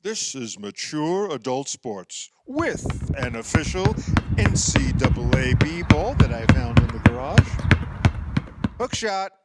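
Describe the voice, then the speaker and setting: An eager middle-aged husband played by a male actor in a radio play, pushy and argumentative. His pitch runs fairly high and swings widely as he needles and urges. The sound is dry, with little room around it. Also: no echo, exaggerated voice. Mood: egging on. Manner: excitable, insistent, argumentative. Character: eager, needling fifty-year-old husband playing basketball.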